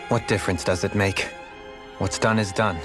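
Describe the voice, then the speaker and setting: An actor playing a calm, resolute noble lord in a video game, speaking formally and eloquently in measured phrases. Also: male voice, lowish voice, very echoey, big-room sound, far from the mic, over music, natural voice. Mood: dry, grave.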